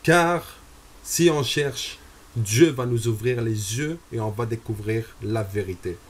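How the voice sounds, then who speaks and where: Slow-talking young man giving a testimony. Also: mid-range voice. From Algeria. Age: 20s. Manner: slow and deliberate, with frequent hesitations. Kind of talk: personal religious testimony.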